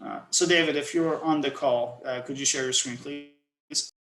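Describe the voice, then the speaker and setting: A man giving a technical lecture over a video call, pausing often with fillers. His voice sits in a noticeably roomy, echoing space. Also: mid-range voice, steady tone, a bit bright. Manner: hesitant, many fillers. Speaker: guest lecturer. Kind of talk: online lecture on quantum hardware. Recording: roomy echo.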